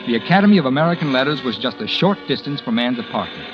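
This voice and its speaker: An actor playing a conceited, cold writer who also narrates, in a 1940s radio drama. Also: male voice, medium pitch, big pitch swings, fast talker, music in the background, close to an everyday voice, low-quality recording. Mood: narrating. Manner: smug, dismissive, curt. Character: cold, conceited man-about-town writer. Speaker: narrator and lead character. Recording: old radio broadcast.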